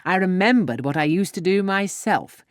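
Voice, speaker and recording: Audiobook role: jovial middle-aged gentleman, played by a woman, proud father, hearty and emphatic, dry close sound. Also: high-pitched, animated, moderate pace, clear, resonant tone, no room sound, pitched above the performer's own voice. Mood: exultant. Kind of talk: audiobook character dialogue.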